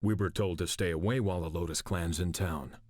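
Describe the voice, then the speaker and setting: An actor playing a brooding, grim exiled warrior lord in a video game cutscene. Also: male voice, low-pitched, fairly quick, darkish tone, no echo, clean studio sound. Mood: guarded.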